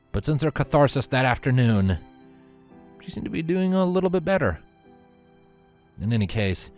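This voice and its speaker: Male narrator, lowish voice, scripted storytelling, faint background music. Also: a little mellow.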